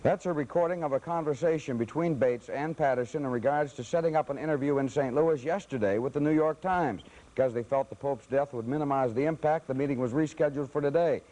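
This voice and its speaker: American male news anchor, soft mellow tone, mid-range voice, presenting to camera. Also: medium pitch, low-quality recording. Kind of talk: newscast presenting.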